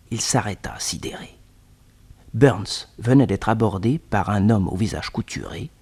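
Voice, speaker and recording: A man's voice: fairly low pitch, a little mellow, slightly rough. A man narrating a French detective serial in a dramatic, scripted storytelling style.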